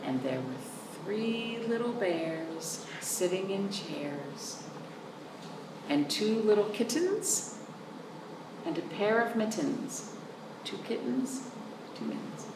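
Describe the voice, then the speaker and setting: A woman hosting storytime for young children, reading aloud in an animated sing-song way with chatty asides to her listeners. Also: mid-range voice, slow pace, pauses often. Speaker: children's storytime host at a library. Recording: roomy, distant microphone with noticeable background noise.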